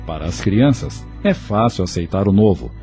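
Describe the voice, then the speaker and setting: A man's voice: low voice, very animated, moderate pace, warm tone, soft background music. A man with a Brazilian accent narrating an audio novel from a script.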